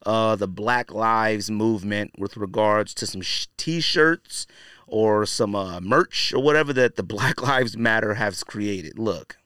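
A man's voice: fairly low pitch, fairly bright tone, smooth, dry recording, studio quality. A man hosting a podcast, giving casual, opinionated commentary in a conversational, slangy, blunt way with emphatic repetition. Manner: conversational and slangy, frequently saying "you know" and "man". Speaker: podcast host.

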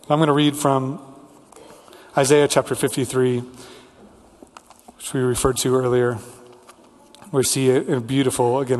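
A man preaching a sermon as a pastor, speaking earnestly with deliberate repetition and lists. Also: medium pitch. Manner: earnest, rhetorical repetition and listing. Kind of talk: sermon with prayer and scripture reading. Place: large reverberant church room. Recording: hall reverb, amplified church preaching.

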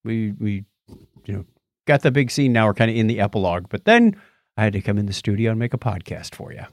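A man's voice: fairly low pitch, expressive, fast talker, no room sound. A man co-hosting a podcast from a studio, chatting casually and playfully, moving between announcements and banter. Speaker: podcast co-host. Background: music.

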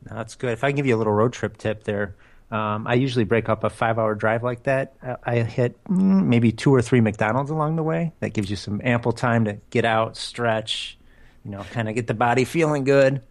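A man's voice: mid-range voice, fairly quick. A man co-hosting a running podcast, chatting casually and joking about himself. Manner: joking, self-deprecating. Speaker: podcast co-host. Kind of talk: casual chat.

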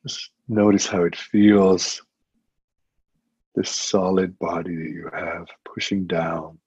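A man with a low-pitched voice leads a guided meditation. He gives gentle instructions and leaves long, unhurried pauses between phrases. The recording is dry and somewhat muffled. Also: fairly even intonation, slightly rough, no echo. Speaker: meditation teacher. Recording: muffled, dull lo-fi audio.

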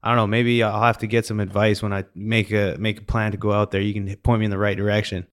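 A low-pitched male podcast host from western Canada. He talks in a casual, conversational way, as in a relaxed sit-down interview. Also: quick pace. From British Columbia, Canada.

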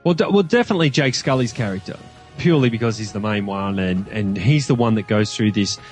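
A man's voice: fairly low pitch, animated, a little fast, a little mellow, faint music behind. A man as a podcast guest in casual film discussion, rambling and stammering as he searches for words. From Australia.

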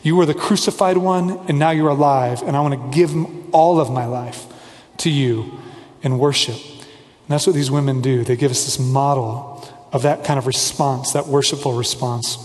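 A man's voice: medium pitch, steady tone, moderate pace, darkish tone, in a large hall. A man preaching a sermon as pastor, earnest and conversational, with playful asides.

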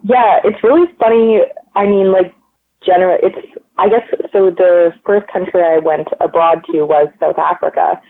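A woman's voice: on the low side, steady tone, normal speed. A woman, a guest chatting casually in an interview, rambling with lots of "like" and "um" in an upbeat way. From Canada. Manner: rambling, many likes and ums, upbeat. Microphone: phone line. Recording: tinny phone line.

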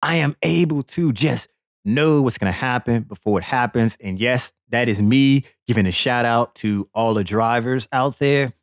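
A man's voice: mid-range voice, moderate pace, dry recording, clean studio sound. A man hosting a sports podcast, telling a playful, boastful story in a rambling comedic monologue with lots of repetition.

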